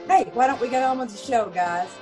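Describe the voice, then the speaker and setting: A woman with a high voice hosts a theater troupe's show. She speaks informally and in a friendly way, as if greeting regular listeners. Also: a little fast, dark tone, faint music behind.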